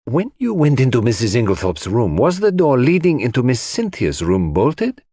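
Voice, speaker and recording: A man voicing a calm, courteous detective who questions people precisely in an audiobook mystery. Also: medium pitch, normal speed, slightly rough, dry recording, clean studio sound, voice pushed up, full character voice. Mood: calm, probing.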